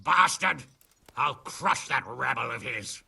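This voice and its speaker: Brash middle-aged nobleman for a medieval game: heavy gravel, big pitch swings, blunt and blustering. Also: lively intonation, slow, bright, forceful tone, recorded from a distance, full character voice. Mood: furious. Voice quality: gravelly, raspy. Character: brash, foul-mouthed, hot-tempered middle-aged medieval lord. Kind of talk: video game cutscene acting.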